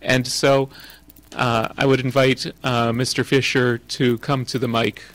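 A man chairing a city council meeting, formal and measured, with frequent pauses. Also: mid-range voice, little pitch movement, a bit bright, no room sound. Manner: formal, measured, many uh fillers.

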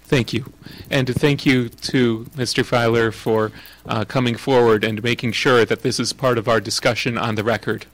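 A man chairing a city council meeting, formal and measured, with frequent pauses. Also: mid-range voice, steady tone, rough recording. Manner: formal, measured, many uh fillers.

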